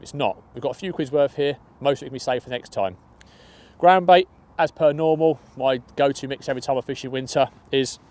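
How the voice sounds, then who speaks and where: A man's voice: mid-range voice, a little mellow. Man, young adult, casual upbeat explaining, outdoors by a lake, lapel mic. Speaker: match angler. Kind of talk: fishing tutorial.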